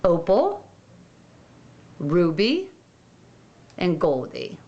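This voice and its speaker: A woman narrates a toy unboxing at an unhurried pace. Her pitch swoops up and down in a sing-song, gentle way. Faint noise and the crinkle of packaging sit behind her. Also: wildly swooping pitch, muffled recording. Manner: slow, gentle, sing-song show-and-tell.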